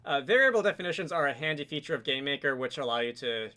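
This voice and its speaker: A man presenting a software tutorial in a conversational, explanatory way, with casual asides. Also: fairly high pitch, lively intonation, rapid-fire, smooth. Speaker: tutorial host, game programming instructor.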